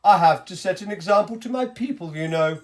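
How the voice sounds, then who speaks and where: A woman's voice: lowish voice, expressive, brisk, close to an everyday voice. Woman as a swooping, pompous storybook emperor. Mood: incredulous. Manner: incredulous, emphatic. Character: shivering pompous male emperor, kindly but easily outraged.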